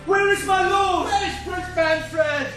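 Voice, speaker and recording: An actor playing a foolish, superstitious castle guard in a gothic audio drama, breathless and excitable. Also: male voice, very high voice, slow, over music. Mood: frantic, alarmed.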